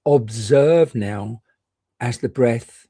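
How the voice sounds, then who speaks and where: A man gently and reassuringly guiding a meditation, leaving unhurried pauses between short phrases. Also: mid-range voice, breathy edge, no echo. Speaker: meditation guide. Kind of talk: guided meditation.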